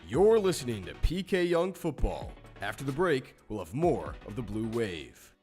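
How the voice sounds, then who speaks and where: A man's voice: medium pitch, sing-song, normal speed, a little mellow, faint music behind. A man reading a scripted radio bumper as a station announcer.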